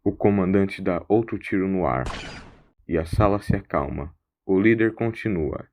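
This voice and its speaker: A man's voice: low-pitched, normal speed, a little mellow, slight background noise. A man narrating a scripted science-fiction audio drama as its storyteller.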